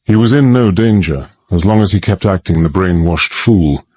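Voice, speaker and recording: An actor playing a resolute middle-aged gentleman adventurer, narrating his exploits in measured, formal, old-fashioned phrasing. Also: sits low, lively intonation, dry recording. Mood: grave, reflective. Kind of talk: dramatised first-person narration and dialogue.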